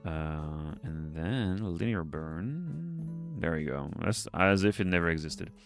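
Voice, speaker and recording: A man with a deep voice, English not his first language, chatting casually on a livestream as he draws, rambling, muttering to himself and swearing now and then. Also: expressive, takes it slowly, soft background music. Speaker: streamer. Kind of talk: casual livestream chat while drawing.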